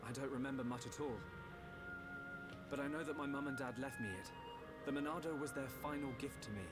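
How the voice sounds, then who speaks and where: Man acting an earnest young British hero over loud music. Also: mid-range voice, fairly quick, close to an everyday voice. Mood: sincere, reflective. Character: earnest young male sword-wielding hero, grieving and determined. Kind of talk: video game cutscene acting. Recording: loud game music behind the voice.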